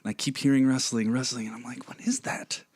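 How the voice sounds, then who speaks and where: A young man chatting as a podcast guest, telling a story with jokes and dropping to a hushed voice for suspense. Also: medium pitch, steady tone, fairly quick, breathy edge, dry recording. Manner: joking, drops to hushed voice for suspense. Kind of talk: casual storytelling chat.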